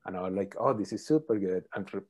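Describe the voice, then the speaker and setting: A middle-aged man, a professor answering interview questions thoughtfully, pausing often with ums. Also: deep voice, a little mellow. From Colombia. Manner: thoughtful, hesitant with many ums. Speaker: professor, podcast guest.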